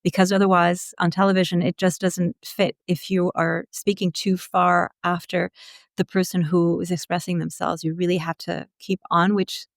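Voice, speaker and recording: A woman's voice: on the low side, flat delivery, normal speed, dry recording, studio quality. A woman telling stories casually as an interview guest, candid and chatty.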